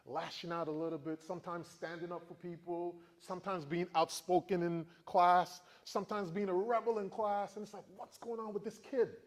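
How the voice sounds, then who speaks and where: A man from the Virgin Islands in his forties tells stories to a room of students, keeping them engaged with frequent questions. His voice sits a little high and swings with the story. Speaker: motivational speaker. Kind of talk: motivational talk to students.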